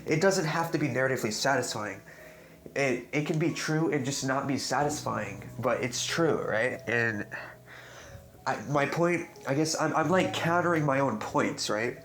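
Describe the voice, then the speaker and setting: A man's voice: mid-range voice, moderate pace, fairly bright tone. A young man giving casual, unscripted commentary on a game theory, stuttering often, restarting sentences and tagging points with "right?". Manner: rambling, keeps asking "right?". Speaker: YouTuber discussing game theories. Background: music in the background.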